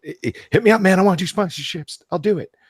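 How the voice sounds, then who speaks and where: A man hosting a casual live chat, excitable and emphatic, prone to swearing, who sometimes reads aloud. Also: high voice, rapid-fire, breathy edge, no room sound, clean studio sound. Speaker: podcast host.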